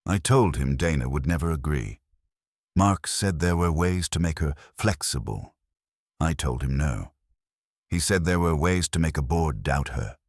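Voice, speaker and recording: A man voicing a cold woman suspect, low-pitched. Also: animated, a little slow, dry recording, clean studio sound, exaggerated voice. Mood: guarded confession. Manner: level, cold, terse. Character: cool, defiant woman suspect, guarded and calculating.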